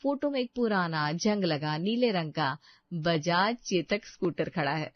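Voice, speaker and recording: A woman's voice: lowish voice, animated, measured pace, dry recording, highs cut off. A woman narrating a scripted story.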